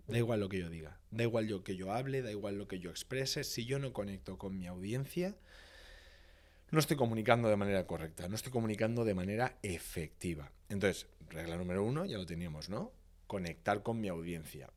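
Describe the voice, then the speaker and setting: A man from Valencia, Spain, with a voice a little on the low side lays out his advice as a public speaking expert. He talks in a teacherly, emphatic way, stacking repeated phrases for rhythm, as a guest on a podcast. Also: darkish tone. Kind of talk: podcast interview, explanatory.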